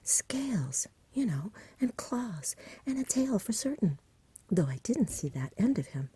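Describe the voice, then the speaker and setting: A nervous country shepherd played by a woman frets in a breathy storybook whisper. The telling is agitated and rambling, full of rustic turns of phrase. The tone stays soft and mellow. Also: mid-range voice, sing-song, unhurried, voice pushed up, full character voice. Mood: bewildered, fretful. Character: frightened rustic shepherd father, fretful countryman.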